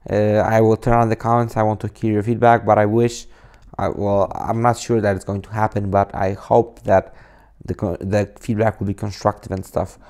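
Polish-native young man, deep mellow voice. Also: level tone, normal speed. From Poland. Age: 20s. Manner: rambling, many restarts and fillers. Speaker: coding tutorial host. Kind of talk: casual screen-recorded tutorial talk. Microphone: cheap desk microphone on a laptop.

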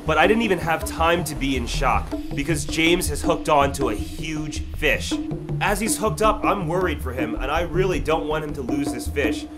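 Young man, vlog voice-over, excited earnest storyteller, slightly high voice, loud music underneath. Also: moderate pace. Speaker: vlog narrator and angler. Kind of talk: voice-over narration of a fishing trip.

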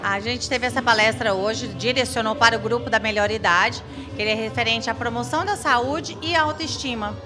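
A Brazilian woman gives interview answers in a fairly high voice. She speaks into a reporter's handheld mic in a small room. Music plays clearly behind her. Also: fairly quick, hardly any pauses. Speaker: social assistance centre staffer. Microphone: handheld reporter microphone.

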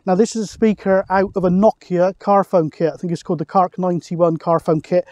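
A man's voice: high-pitched, level tone, brisk, darkish tone, dry recording. A British man in his forties chatting casually to camera as a vlogger, recorded outdoors on an open hilltop. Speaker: vlogger, CB radio hobbyist. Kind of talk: casual outdoor vlog talk.

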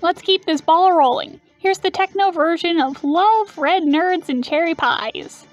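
A woman's voice: extremely high pitch, a little slow, faint music behind, pitched above the performer's own voice. A woman voicing a brash, vain young demon girl who is a showy metal idol in a game. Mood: exhilarated. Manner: showy, boastful.

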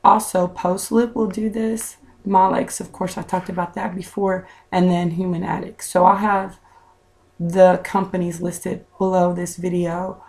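A woman in her thirties with a raspy voice, chatting to viewers in a casual home vlog, recorded on a webcam indoors. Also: on the low side, flat delivery, unhurried, a little mellow. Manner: chatty, direct to viewers, with fillers. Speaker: vlogger. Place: small room at home, indoors.

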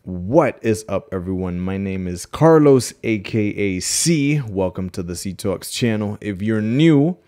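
A man's voice: fairly low pitch, expressive, measured pace, few pauses, warm tone. A man in his thirties from the Dominican Republic, hosting a casual reaction commentary with emphatic, opinionated delivery and rhetorical questions, recorded at home on a close studio mic. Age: 30s. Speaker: reaction channel host. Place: small bedroom-like room at home, indoors. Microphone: large dark studio mic close in front, headphones on.

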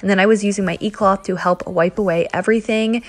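A woman's voice: mid-range voice, steady tone, fast. A woman in her thirties chatting through a home cleaning vlog voice-over, talking straight to her viewers. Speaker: cleaning vlogger. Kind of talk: casual voice-over narration.

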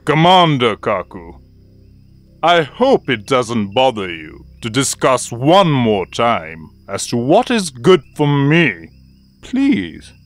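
A man's voice: medium pitch, very animated, slow pace, soft background music. A man voicing a haughty, menacing lion king in a cartoon, sly and mockingly polite. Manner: mocking, drawling mock politeness. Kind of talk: cartoon voice acting.

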